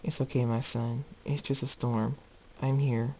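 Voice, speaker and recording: A man voicing a gentle, loving mother pony in a soft-spoken, tender manner for a story. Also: medium pitch, flat delivery, measured pace, lightly acted, poor audio.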